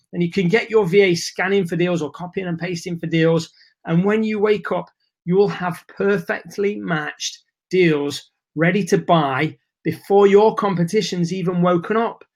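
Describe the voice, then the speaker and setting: Chatty man, losing his voice. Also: on the high side. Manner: chatty, informal, reads and answers chat messages. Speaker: webinar host. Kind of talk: live webinar presentation.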